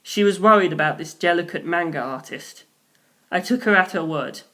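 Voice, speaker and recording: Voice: mid-range voice, moderate pace, fairly bright tone. A storyteller with a mid-range voice reads scripted fiction aloud. The delivery is dramatic, shifting into character for the dialogue. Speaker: audiobook narrator, reader.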